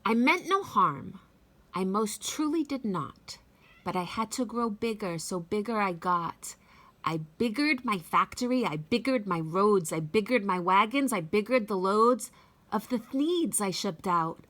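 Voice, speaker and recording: A woman's voice: mid-range voice, animated, a little slow, fairly bright tone. A woman voicing a greedy, self-justifying male factory boss in a storybook, telling his tale with insistent emphasis. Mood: defensive, boastful.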